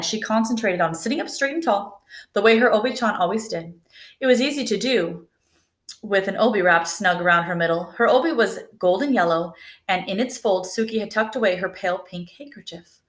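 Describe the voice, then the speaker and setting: Woman gently reading a picture book. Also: medium pitch, normal speed. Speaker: storybook reader. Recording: echoey room.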